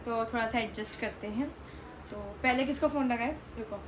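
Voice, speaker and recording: A young woman chatting casually and excitably to camera, informal and a bit bossy with her companions, as a family vlogger. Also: on the high side, normal speed. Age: young adult. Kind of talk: casual chat to camera in Hindi vlog. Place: at home, indoors. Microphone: phone propped up without a stand. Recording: noisy home phone recording.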